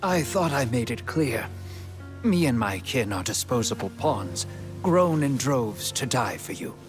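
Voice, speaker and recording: An actor playing a dutiful, self-effacing elf scout bred to serve in a fantasy game, speaking formally and politely. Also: male voice, medium pitch, sing-song, measured pace, music in the background. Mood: stoic. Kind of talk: cinematic video game acting.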